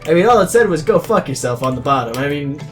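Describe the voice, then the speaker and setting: A man's voice: on the high side, sing-song, fast, soft background music. A man in his twenties narrating a story in a readalong, breaking in with crude, sarcastic, self-mocking asides. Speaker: reader of a comedic readalong.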